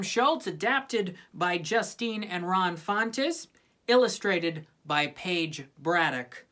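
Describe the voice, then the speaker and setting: A woman narrating a children's storybook in an expressive scripted reading style. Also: lowish voice. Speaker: storybook reader.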